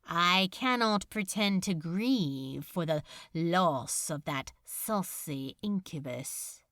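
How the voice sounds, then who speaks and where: A woman's voice: on the low side, expressive, slow pace, a bit bright, no echo, studio quality, pitched below the performer's own voice, full character voice. A woman voicing a cruel demon lord in an audiobook, mock-polite and languid, with drawling, archaic menace. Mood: mocking, sinister. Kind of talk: audiobook character acting.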